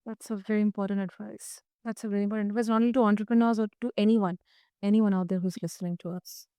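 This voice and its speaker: The female host of an interview podcast heard through earbuds, smooth and clear in a dry studio-like sound, reflective and affirming. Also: medium pitch, fairly even intonation, fast talker, mellow, dry recording. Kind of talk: conversational interview hosting.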